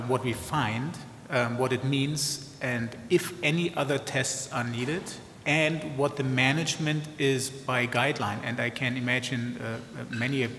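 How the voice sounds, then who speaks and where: A man's voice: medium pitch, fairly bright tone, a little hiss or hum. A man, a cardiologist on a conference panel, answering with many uhs and an uneven pace. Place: large conference ballroom. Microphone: table microphone. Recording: echoey hall with long reverb.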